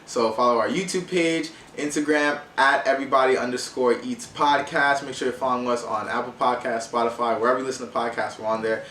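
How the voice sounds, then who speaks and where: A man in his twenties hosts a casual interview podcast with loose, slangy phrasing. His voice sits at an average pitch and sounds set back from the mic, in an echoey room with faint noise. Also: steady tone, fairly quick, hardly any pauses, pushed and bright, distant mic. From Haitian background. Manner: informal, slangy. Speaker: podcast host.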